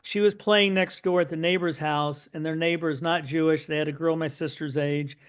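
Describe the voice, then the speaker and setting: A middle-aged man, a guest on an interview podcast, telling his family's story in a lively, anecdotal way full of asides. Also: a little high, normal speed, clear, resonant tone. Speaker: podcast guest, son of Holocaust survivors.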